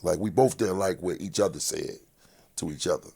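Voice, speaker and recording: A middle-aged man from Philadelphia speaks as an interview guest in a big, low voice. His tone is gravelly and husky, and he talks bluntly and profanely, in a deliberate way. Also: warm tone. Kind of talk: casual interview talk.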